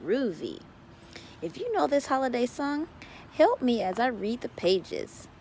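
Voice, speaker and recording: A woman hosting a children's story time, reading a picture book aloud in a playful, drawn-out sing-song delivery. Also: on the high side, very animated, a little mellow, slight background noise.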